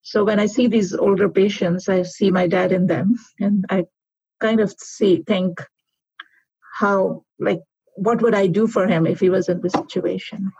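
A middle-aged woman from Pakistan, a physician answering interview questions in an earnest, reflective way with pauses and restarts. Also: medium pitch, steady tone, a little mellow, husky, dry recording. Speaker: guest physician. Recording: dull lo-fi sound.

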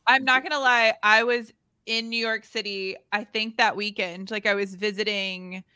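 A woman co-hosting an interview, upbeat and quick to laugh. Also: mid-range voice, steady tone, pushed and bright, clear, resonant tone. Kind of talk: casual interview chat.

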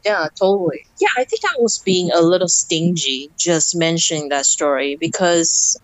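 A woman's voice: lowish voice, lively intonation, moderate pace, dry recording, rough recording. A woman co-hosting a casual podcast, speaking reflectively and answering supportively. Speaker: podcast co-host. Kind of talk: casual chat.

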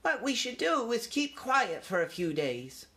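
A man's voice: very high-pitched, very animated, a little slow, bright, forceful tone, pitched above the performer's own voice, full character voice. A man voicing a young boy mouse in a storybook, eager and a little grand. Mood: confident, commanding.

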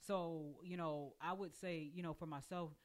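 A woman's voice: low voice, steady tone, normal speed. Chatty woman in her forties. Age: 40s. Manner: warm storytelling, many "you know" fillers. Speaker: podcast guest. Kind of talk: casual group conversation.

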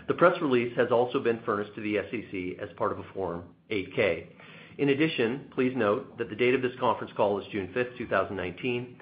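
A man reads a formal corporate script in a measured way. His voice is fairly low. It comes through a thin, phone-like connection. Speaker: investor relations executive. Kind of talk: scripted corporate disclosure reading. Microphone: telephone conference line. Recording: thin telephone-line audio.

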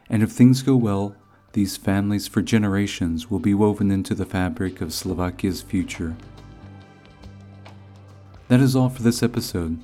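A man's voice: low voice, dark tone. A man reading scripted narration as the host of a podcast.

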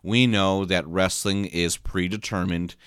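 A man's voice: sits low, steady tone, a little slow, a bit bright, clear, resonant tone, dry recording, clean studio sound. A man co-hosting a podcast in casual chat, sleepy after a short night, rambling with many restarts and repeated words. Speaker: podcast co-host. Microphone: podcast mic.

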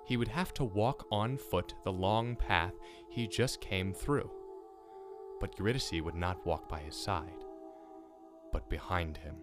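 Guy playing a dramatic myth professor in an audio drama, slow weighted phrases, obsessive edge, music behind him. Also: deep voice. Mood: hushed, grave. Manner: deliberate, theatrical phrasing with weighted pauses. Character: mythology professor, grave storyteller turning frantic and obsessive. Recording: staged old classroom recording, music underneath.

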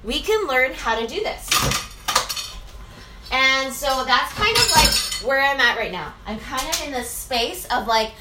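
Chatty woman, high bright voice, lots of likes and rights, echoey room with faint hiss. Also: lively intonation, measured pace. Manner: rambling, many fillers and "right?" tags. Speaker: business owner. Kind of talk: casual reflective monologue.

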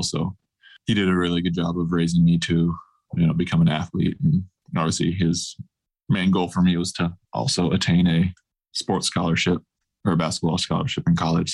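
Deep, gravelly-voiced man, relaxed guest. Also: fairly quick, poor audio. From grew up across Asia, mostly Taiwan. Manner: relaxed, easygoing, thoughtful. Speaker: guest, professional basketball player. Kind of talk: casual interview answers.

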